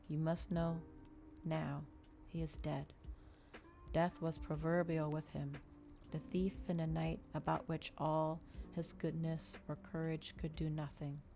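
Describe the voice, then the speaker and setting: Woman reading as a low, solemn male vampire. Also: gravelly, warm tone. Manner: measured, formal, deliberate. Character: solemn, eloquent male vampire recounting his past.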